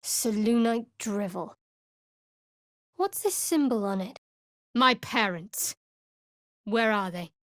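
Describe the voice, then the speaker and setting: A woman's voice: medium pitch, slow pace, pauses often, dry recording, lightly acted. A woman playing a guarded, sardonic young female cleric in a video game, with a dry, aloof, clipped delivery. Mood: wistful, troubled. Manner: dry, aloof, clipped sarcasm with earnest undertones. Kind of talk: video game character voice acting.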